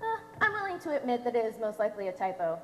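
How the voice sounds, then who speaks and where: Officious, obstructive female clerk played by a young woman for stage comedy, high swooping voice, deliberate and smug, noisy hall recording. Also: big pitch swings, a bit bright. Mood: condescending. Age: teenager or young adult. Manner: pedantic, drawn-out deliberate delivery. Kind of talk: comic stage play acting. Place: theatre stage, recorded from a distance.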